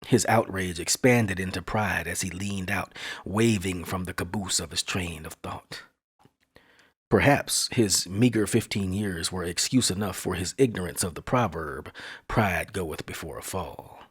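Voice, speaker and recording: A man hosting a podcast, telling a spooky story in a slow, hushed, dramatic studio reading. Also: sits low, slightly rough.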